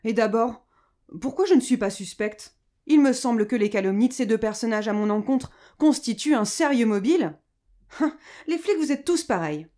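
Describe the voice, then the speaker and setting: A woman's voice: on the high side, lively intonation, normal speed, dark tone, close to an everyday voice. A woman playing an indignant, haughty businesswoman who answers cuttingly, in a French audio drama. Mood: defiant. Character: defiant, indignant businesswoman under police questioning.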